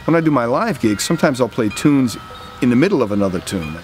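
A man's voice: mid-range voice, very animated, fast talker, darkish tone, a little hiss or hum. A man in his forties, a bandleader, talking thoughtfully about making a record in a studio. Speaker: bandleader and guitarist. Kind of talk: reflective interview talk. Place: recording studio control room. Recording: music playing under the voice.

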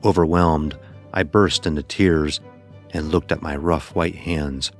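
Casting: male actor as anguished youth narrating dark fantasy horror, extremely low voice, grave and deliberate, music underneath. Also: steady tone. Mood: shaken, overwhelmed. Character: anguished blind youth recounting a deadly ordeal. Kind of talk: scripted first-person horror narration.

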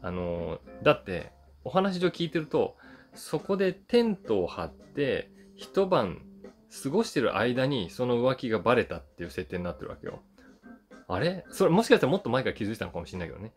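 A man's voice: mid-range voice, very animated, a little fast. A man in his forties chatting casually to camera as he reviews a film, conversational and emphatic. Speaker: YouTube host reviewing films, voice actor. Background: background guitar music.

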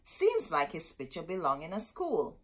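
A woman's voice: on the low side, wildly swooping pitch, normal speed, fairly bright tone, husky, heavily acted. A woman voicing an indignant, bewildered young schoolboy in a storybook, exclaiming and protesting.

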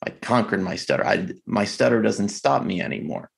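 Male podcast guest, deep husky voice, reflective and halting delivery. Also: expressive. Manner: reflective, halting, many fillers and restarts. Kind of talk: candid conversation. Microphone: video call.

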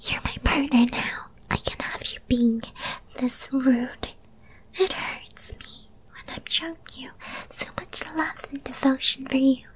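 A possessive young witch girlfriend, played by a woman, whispers in a high, breathy voice. She takes her time, cooing and coaxing like a lovestruck captor in an ASMR roleplay while keeping firm control. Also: poor audio. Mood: smug, hurt.

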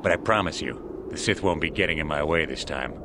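Cocky game bounty hunter: deep, menacing, boastful, over noticeable background ambience. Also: male voice, fairly quick, noisy background. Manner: boastful, threatening. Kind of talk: video game character acting.

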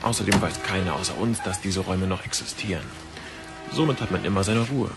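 A man's voice: low-pitched, brisk, vocal fry, over music. An actor playing a cheeky, protective young man in a fantasy audio drama. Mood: reassuring.

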